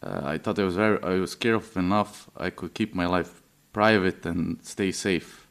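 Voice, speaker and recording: A man's voice: sits low, steady tone, moderate pace, creaky. A man in his twenties from Serbia, giving a personal testimony hesitantly, with many fillers and restarts. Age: 20s. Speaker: interviewee.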